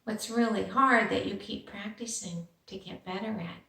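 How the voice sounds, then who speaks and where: A woman's voice: medium pitch, normal speed, vocal fry, bright, forceful tone, reverberant, distant mic. A woman reading a children's story aloud and putting simple questions directly to young listeners. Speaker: teacher reading a picture book aloud to children.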